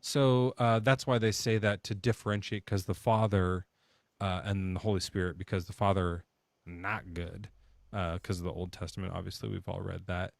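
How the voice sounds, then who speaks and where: A man's voice: sits low, flat delivery, moderate pace, vocal fry, no echo. A man hosting a call-in debate show, questioning callers in a joking, sarcastic way.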